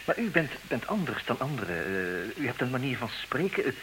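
Lively, courteous gentleman charmer, played by a man in noisy audio. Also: medium pitch, a little fast, lightly acted. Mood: charming. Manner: courteous, playful, hesitant when courting. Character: suave, flirtatious gentleman wooing a stranger over lunch. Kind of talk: old-fashioned comic radio play acting. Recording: noisy vintage radio drama recording.

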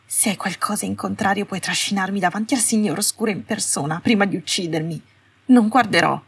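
A woman voicing a haughty, sarcastic young witch in an audiobook, with a small, brittle, high-strung voice. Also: brisk, vocal fry, husky. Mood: hysterical, furious. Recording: roomy, slightly distant home recording.

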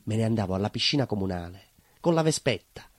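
An actor playing a simple, proud working-class baker in a stage monologue, earnest and conversational, with a southern Italian accent. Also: male voice, on the low side, lively intonation, fast talker, no echo, clean studio sound. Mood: nostalgic, proud. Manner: naive, earnest, emphatic, conversational. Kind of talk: theatrical monologue, colloquial storytelling.

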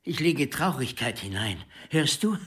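Strenger, trauernder Klavierlehrer, stark bewegte Stimme. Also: male voice, mid-range voice, big pitch swings, unhurried, fairly bright tone, recorded from a distance. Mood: anguished, tender. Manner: theatrical, emphatic, emotionally intense. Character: grieving, stern, passionate male piano teacher. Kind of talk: literary radio drama acting.

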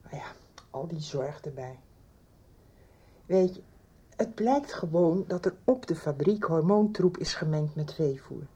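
A woman's voice: sits low, animated, unhurried, long pauses, warm tone, breathy edge, heavily acted. An actress playing a worried woman confiding in a friend in a radio drama. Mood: concerned, explaining. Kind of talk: 1990s radio drama acting.

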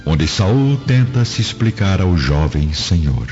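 Deep-voiced male radio drama narrator. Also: very animated, measured pace. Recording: muffled lo-fi, music underneath.

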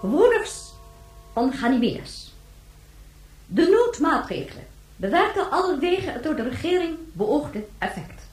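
A stately official announcer in a sci-fi radio drama. Her high voice proclaims in emphatic, swooping phrases with strong echo. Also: big pitch swings, a little slow, a little mellow, a little hiss or hum. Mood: formal, proclaiming. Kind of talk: formal public announcement in a radio play.

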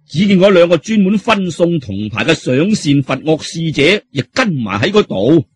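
A man's voice: on the high side, sing-song, moderate pace, a little mellow, breathy edge. A man with a Cantonese accent telling a story in a dramatic, colloquial audiobook style.

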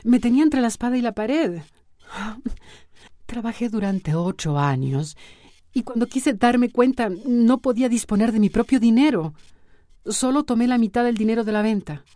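A woman's voice: a little high, animated, moderate pace, dark tone, no room sound, studio quality, lightly acted. An actress with a Latin American accent playing a troubled, secretive woman in a radio soap opera, hesitant and confiding. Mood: pleading. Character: troubled, secretive woman reluctantly confessing to her friend.

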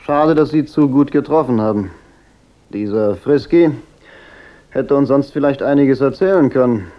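An actor playing a cool, unflappable private detective with dry, wry retorts in a German radio crime drama. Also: male voice, mid-range voice, normal speed, lo-fi sound, natural voice. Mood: wry, probing.

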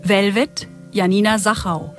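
A woman's voice: medium pitch, steady tone, unhurried. A woman announcer reading the credits of a radio drama in a formal, scripted way. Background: music.

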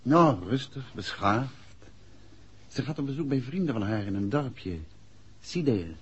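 An actor playing a courteous, persuasive private investigator in a 1960s radio drama. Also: male voice, mid-range voice, expressive, unhurried, slightly rough. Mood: relaxed. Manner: polite, coaxing. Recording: muffled old 1960s radio recording.

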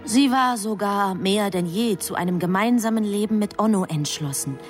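A woman playing a heavenly messenger who narrates human affairs in a radio drama, in a measured, literary manner. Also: medium pitch, faint music behind, lightly acted. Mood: measured, reflective. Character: female heavenly messenger calmly recounting human love affairs.

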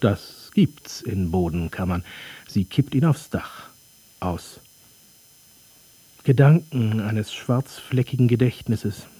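An actor playing a brooding, sardonic narrator in a literary radio play, speaking in terse, dry, deliberate fragments. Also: male voice, lowish voice, mellow, husky, no echo. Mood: wry, observing.

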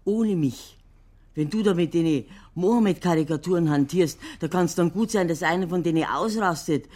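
A woman's voice: on the low side, normal speed, dark tone, close to an everyday voice. A woman playing a sceptical, down-to-earth Bavarian sidekick in a German radio crime drama, speaking in plain colloquial dialect. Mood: sceptical, objecting.

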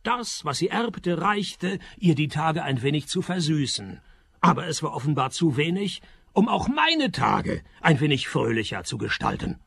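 Wry, gravelly private detective. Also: male voice, a little high, moderate pace, full character voice. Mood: wry, resigned. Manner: deadpan, sardonic. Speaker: narrator and lead actor. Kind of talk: radio play detective narration and dialogue.

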